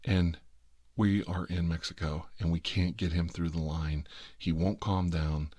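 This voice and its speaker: A man's voice: low-pitched, steady tone, unhurried, darkish tone, dry recording, studio quality. A middle-aged American man rambling casually through an anecdote with comic exasperation, acting out the dialogue as he goes. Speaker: storytelling host.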